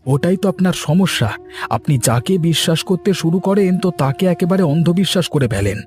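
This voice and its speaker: Loyal village servant for a cartoon acted by a man: high voice, earnest, over light music. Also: animated, moderate pace, a little mellow, natural voice. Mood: earnest pleading. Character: loyal, earnest male servant warning his master. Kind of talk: Bengali cartoon dubbing.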